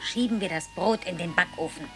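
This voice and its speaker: A woman's voice: on the low side, a little fast, soft background music, lightly acted. An actress playing a patient village mother in a radio drama, explaining things simply to her child.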